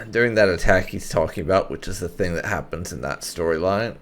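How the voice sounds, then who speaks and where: A man's voice: low-pitched, brisk, fairly bright tone, slight background noise. A man giving casual let's-play commentary in a wry, explanatory, sweary way. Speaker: gaming video host.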